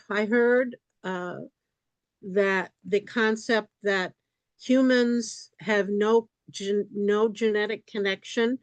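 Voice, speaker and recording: A woman's voice: mid-range voice, no room sound. Careful woman asking a question. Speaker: audience member asking a question. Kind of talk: Q&A in an online event. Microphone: video call.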